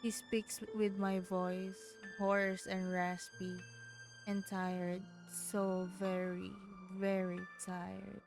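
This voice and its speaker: A woman's voice: medium pitch, monotone, very slow, creaky. A woman with a Filipino accent, streaming a game and reading its text aloud. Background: game music.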